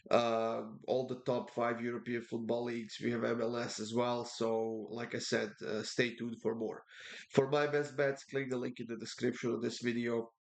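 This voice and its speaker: A man's voice: mid-range voice, level tone, creaky. A man with a congested voice from a cold, giving casual soccer betting picks, with lots of fillers and repeats. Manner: halting, many uh fillers and repeats. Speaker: sports betting tipster. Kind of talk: casual betting preview talk.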